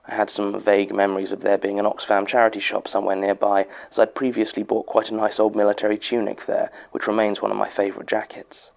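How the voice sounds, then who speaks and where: Sober British archivist for horror audio drama: low, gravelly, measured, formal delivery of eerie statements, slightly muffled sound. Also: male voice, darkish tone. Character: sober head archivist reading a theatre technician's eerie testimony.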